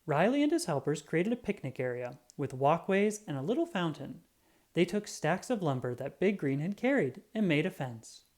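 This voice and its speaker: A woman reading a children's book aloud in an animated, expressive storytelling style. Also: deep voice. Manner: expressive, drawn-out emphasis on sound words.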